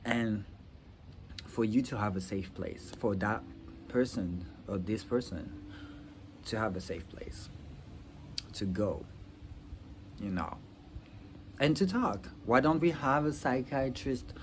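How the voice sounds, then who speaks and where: A young man from Rwanda talking earnestly about his future plans in a personal vlog, with halting restarts and many fillers. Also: on the low side, lively intonation, noisy background. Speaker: vlogger. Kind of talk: personal reflective talk to camera.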